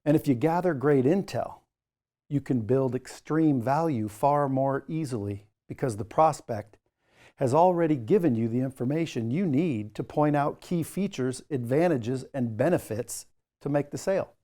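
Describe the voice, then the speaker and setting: A man with a mellow, darker-toned voice at a medium pitch teaches as a course instructor. He addresses the learner directly in a coaching style, stressing his points while keeping the wording informal. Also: moderate pace. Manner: emphatic, jokey asides, coaching tone. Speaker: sales trainer, course instructor.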